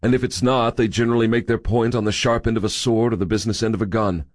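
A man's voice: on the low side, steady tone, fast talker, dry recording, voice pushed up, natural voice. A man voicing a cool, cynical male drifter in a dry, conversational manner.

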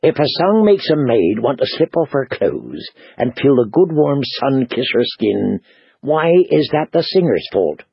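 A man's voice: medium pitch, very animated, a little slow, gravelly, breathy edge, no echo, lo-fi sound, heavily acted. A man playing a wry teasing minstrel.

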